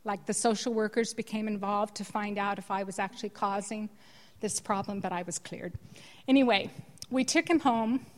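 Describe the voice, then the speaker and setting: A woman's voice: mid-range voice, normal speed. Older American woman telling her story. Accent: Californian American. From California. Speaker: guest speaker sharing testimony. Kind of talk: personal testimony to a church congregation. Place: church hall. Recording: long echo of a church hall.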